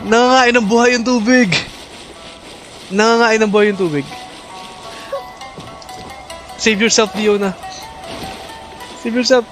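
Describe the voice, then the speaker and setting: Excitable Filipino man streaming a game. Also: high voice, unhurried, long pauses, soft background music. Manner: excitable, bursts into shrieks and laughter. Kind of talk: live gameplay commentary mixing Tagalog and English.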